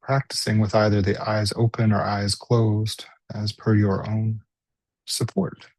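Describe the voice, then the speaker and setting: A man leading a guided body scan meditation as a teacher, speaking gently and unhurriedly with pauses. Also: fairly low pitch, flat delivery, dry recording.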